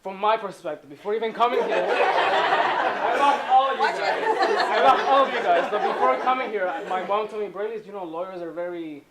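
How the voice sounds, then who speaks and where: A man's voice: high voice, normal speed, over a noisy crowd. Young man from the Dominican Republic, echoey. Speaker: youth jobs program participant. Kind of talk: interview.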